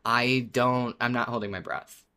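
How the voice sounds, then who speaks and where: A man's voice: mid-range voice, steady tone, a little slow, bright, forceful tone, clear, resonant tone. Playful man chatting as podcast co-host. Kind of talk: casual fan discussion.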